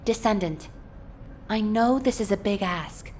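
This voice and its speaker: A woman playing a serious, cautious military officer in a video game, speaking frankly in a measured, businesslike way. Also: mid-range voice, darkish tone, close to an everyday voice. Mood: serious, concerned. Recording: faint ambient noise behind.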